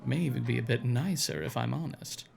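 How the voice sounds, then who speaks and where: A man's voice: mid-range voice, a little fast, gravelly, darkish tone. A man playing an enthusiastic, curious, teasing bard in a fantasy audio drama. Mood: fascinated. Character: excitable, curious male bard, a tavern patron.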